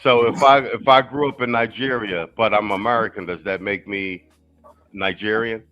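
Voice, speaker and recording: A man's voice: lowish voice, fairly even intonation, normal speed, muffled recording, soft background music. A man hosting a casual stream, talking loosely and profanely with lots of restarts. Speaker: stream host. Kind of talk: casual talk-show chat.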